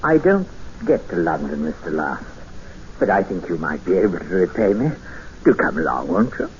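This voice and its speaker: A soft, menacing old recluse. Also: male voice, sits low, sing-song. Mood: quietly insistent. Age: 60s. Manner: soft-spoken, insistent, drawn-out phrasing. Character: lonely older man, softly possessive and menacing. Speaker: isolated jungle rancher. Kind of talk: 1950s radio drama acting. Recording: muffled 1950s radio broadcast with hiss.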